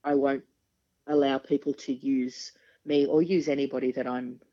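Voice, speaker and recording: A woman in her forties with a deep speaking voice, a singer talking frankly and with quick humour as a podcast guest. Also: level tone, dark tone. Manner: frank, chatty, quick-witted, laughs often. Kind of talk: casual interview chat. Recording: dull lo-fi podcast audio.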